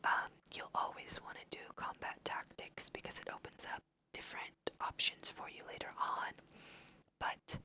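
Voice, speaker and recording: Woman, breathy whisper, very sharp sibilant brightness, casual chatty ASMR let's play, thinking aloud. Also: normal speed. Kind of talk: whispered ASMR video game commentary.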